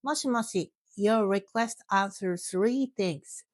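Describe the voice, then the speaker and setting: A woman's voice: mid-range voice, slow, no room sound, studio quality. A woman giving scripted language instruction in short, encouraging phrases, speaking directly to the learner as a teacher. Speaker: Japanese language teacher and host.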